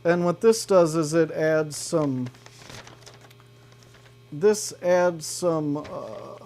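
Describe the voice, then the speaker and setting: A man giving a casual, off-the-cuff gear demo, thinking aloud in a halting way as he goes. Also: a little high, darkish tone, slight background noise. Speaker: gear reviewer and demonstrator. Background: electric guitar.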